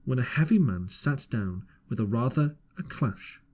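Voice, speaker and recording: A man's voice: medium pitch, lively intonation, normal speed, darkish tone, a little hiss or hum. A man narrating an audiobook as an amateur reader, earnest, with the odd stumble over the text. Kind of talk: scripted storybook narration.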